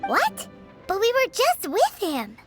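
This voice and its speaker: Game casting: a tiny floating fairy sidekick, extremely high, lively voice, opinionated but caring. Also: female voice, takes it slowly, over loud music, full character voice. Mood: concerned. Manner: refers to herself by name. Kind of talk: animated video game dialogue.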